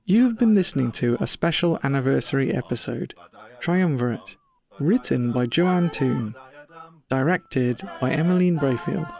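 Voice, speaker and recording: A man's voice: medium pitch, a little mellow. A man reading scripted credits as a podcast announcer.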